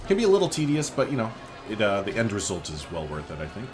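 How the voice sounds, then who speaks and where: A man's voice: lowish voice, huge pitch swings, fast talker, music in the background. A man giving casual, chatty gameplay commentary as a let's play host, thinking aloud informally as he goes.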